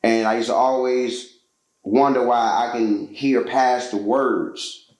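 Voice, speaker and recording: A man's voice: medium pitch, fairly even intonation, slow, a little mellow, echoey room, poor audio. A man from Georgia, a musician telling his story as a guest in a casual interview, loose and slangy with lots of fillers.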